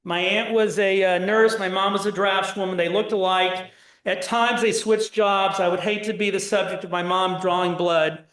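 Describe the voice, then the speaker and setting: A middle-aged man with a fairly high voice tells a story to an audience in short, plain phrases. He is from Indiana, and his talk rings through a large, echoey synagogue hall. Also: steady tone, normal speed, fairly bright tone. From Indiana, USA. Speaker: speaker at a Holocaust education program. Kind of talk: public talk retelling family history.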